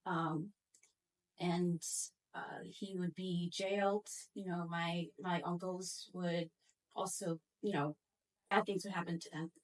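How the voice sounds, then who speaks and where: A woman telling a personal story on a panel in a measured, halting way. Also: fairly low pitch, distant mic, poor audio. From upstate New York, United States. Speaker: panel guest, audit manager.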